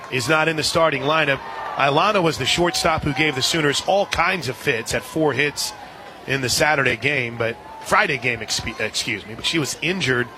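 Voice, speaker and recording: Higher-pitched male softball play-by-play announcer, crowd behind. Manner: conversational, pours out scores and details between pitches. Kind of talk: live softball radio commentary. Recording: outdoor stadium crowd behind the voice. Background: crowd noise.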